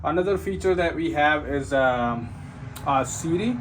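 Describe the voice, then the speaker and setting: A man's voice: a little high, lively intonation, unhurried. A man giving an informal, unscripted product walkthrough as an installer, explaining step by step and often checking in with the listener. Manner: explanatory, often ends points with a checking "right?". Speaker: security system installer giving a product demo. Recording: clear background ambient noise.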